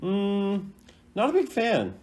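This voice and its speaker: A man's voice: high voice, huge pitch swings, slow pace, darkish tone. A man hosting a video in casual unscripted commentary, rambling and hesitant in a laid-back way. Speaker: host ranking game voice lines.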